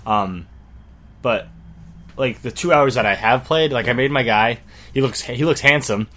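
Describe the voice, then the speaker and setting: A young man co-hosting a podcast, chatting casually, laughing often and telling animated stories. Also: medium pitch, unhurried, over music. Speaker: podcast co-host. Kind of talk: casual chat.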